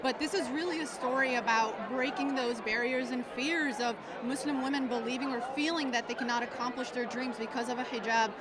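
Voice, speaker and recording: A woman's voice: on the high side, brisk, few pauses. A woman from Chicago, a police officer, speaking earnestly and with emphasis in a red carpet interview. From United States, Chicago. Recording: loud crowd and street noise.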